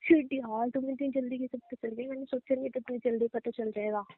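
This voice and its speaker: A woman's voice: high-pitched, fairly even intonation, quick pace. A young woman in casual relationship banter on a phone call, with a teasing, playful way of talking. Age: young adult. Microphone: phone line. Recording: tinny narrowband phone call.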